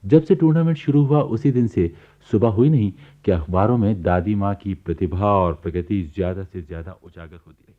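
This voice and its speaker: Actor as a low-voiced chatty storyteller, thin sound. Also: male voice, normal speed, few pauses, lightly acted. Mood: amused, relating. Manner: conversational, addresses listener directly. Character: chatty male neighbour narrating the story. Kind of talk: radio play narration. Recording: thin, phone-like archive radio sound.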